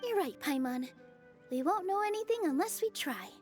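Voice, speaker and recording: A gentle young woman cast as a forest fairy in a video game. Her voice is high, soft and thoughtful, earnest in delivery, with music behind it. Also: animated, moderate pace.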